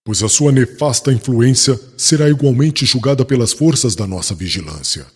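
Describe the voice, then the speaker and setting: A man's voice: mid-range voice, lively intonation, moderate pace, darkish tone, slightly rough. A man playing a proud, wrathful young doctor of the law in a dramatized audiobook, speaking with formal, self-righteous vehemence. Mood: vengeful. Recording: long reverb, music behind.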